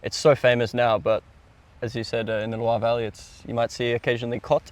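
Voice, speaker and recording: A man's voice: mid-range voice, little pitch movement, quick pace, no echo. A man co-hosting a podcast as a sommelier, talking in a casual, conversational way.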